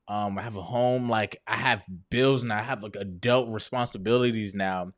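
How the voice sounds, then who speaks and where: A man's voice: lowish voice, steady tone, normal speed. A man in his thirties talking candidly and casually to viewers as a video host, often checking in with the listener conversationally. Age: 30s. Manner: conversational, often checks in with "right?" and "you know". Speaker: entrepreneur video host sharing personal story.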